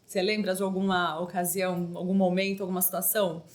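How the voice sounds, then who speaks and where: A young woman hosting a casual podcast interview, chatty and quick to laugh, asking long, rambling questions. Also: on the low side, level tone. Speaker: podcast host.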